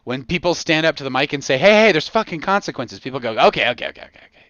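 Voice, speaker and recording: An American man hosting a casual comedic podcast, talking in an excitable, profane way with punchy repetition. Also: on the high side, racing, no echo, lo-fi sound. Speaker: podcast host.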